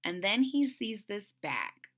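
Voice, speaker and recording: A woman's voice: medium pitch, big pitch swings, takes it slowly. A woman reading a children's story aloud expressively and retelling it in simple words.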